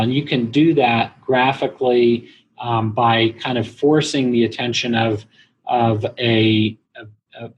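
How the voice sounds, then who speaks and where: A man giving explanatory answers as a business guest in an interview, speaking in a measured, halting way with pauses. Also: medium pitch, poor audio. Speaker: guest, internet marketing company president. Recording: clear background noise.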